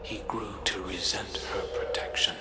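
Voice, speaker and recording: A man's voice: lowish voice, level tone, normal speed, in a whisper, close to an everyday voice. A man playing a wistful, devoted prince who tells an old fable in a game. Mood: hushed storytelling. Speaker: video game character. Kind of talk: slow storybook-like fable narration. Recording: loud music under the voice.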